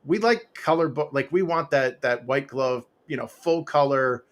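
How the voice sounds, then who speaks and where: A man's voice: medium pitch, normal speed. Rambling middle-aged man on a home mic. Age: 40s to 50s. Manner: rambling, laughing, lots of fillers and restarts. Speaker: interview guest, comic author. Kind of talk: casual interview chat. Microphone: home podcast mic with pop filter.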